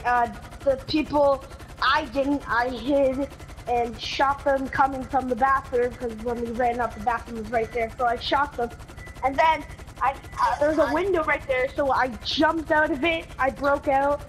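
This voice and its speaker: A high-voiced game player chatting casually in improvised roleplay, often repeating words and restarting sentences. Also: slight background noise, low-quality recording. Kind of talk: casual improvised roleplay chat during gameplay.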